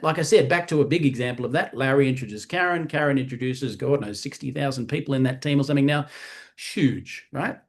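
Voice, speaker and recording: An Australian man in his fifties giving a motivational training talk in an animated way, often checking in with "right?". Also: fairly high pitch. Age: 50s. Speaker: sales trainer.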